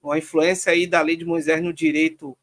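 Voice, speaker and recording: A Brazilian man hosting a live stream in a relaxed, informal way, reading viewer comments and wrapping up. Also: fairly high pitch, brisk. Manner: relaxed, informal sign-offs.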